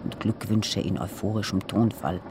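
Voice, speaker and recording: A man plays a radio-play narrator with a fairly low voice, reciting literary prose phrase by phrase. Clear vehicle-like noise runs behind him. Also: moderate pace, natural voice. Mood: measured. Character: male narrator of a poetic radio piece. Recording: noisy ambience with vehicle-like rumble.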